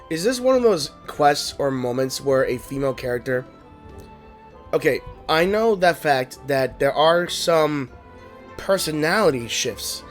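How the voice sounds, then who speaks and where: A man doing casual let's play commentary and reading along, with wry, joking asides. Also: mid-range voice. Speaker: gaming video creator. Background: game music.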